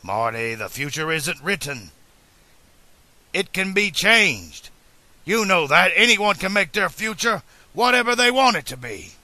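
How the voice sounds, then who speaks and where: A man's voice: medium pitch, lively intonation, unhurried, gravelly, pushed and bright, dry recording, exaggerated voice. A man voicing an eccentric, stubborn, passionate older inventor in an audiobook, speaking in a formal, declamatory way. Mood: adamant, impassioned. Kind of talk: audiobook character dialogue.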